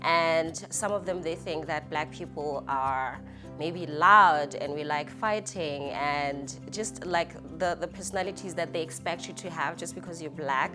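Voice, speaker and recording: A woman's voice: low-pitched, a little slow. A South African woman with a South African accent, speaking candidly as an interviewee. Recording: music behind the voice.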